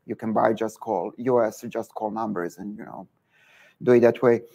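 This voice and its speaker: A man's voice: on the low side, steady tone, normal speed, vocal fry, mellow. A man with a Serbian accent, a guest entrepreneur in an online interview, giving earnest advice with many filler words.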